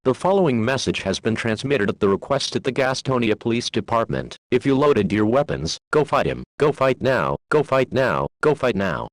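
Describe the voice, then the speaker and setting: A man's voice: medium pitch, expressive, moderate pace, pauses often, no room sound. A man reading a scripted emergency broadcast announcement as an alert announcer.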